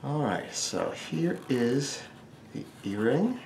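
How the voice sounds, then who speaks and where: A man's voice: mid-range voice, sing-song, slow pace, gravelly, a little mellow, slight background noise. A man teaching a casual step-by-step drawing tutorial, with wry, joking asides. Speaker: drawing instructor.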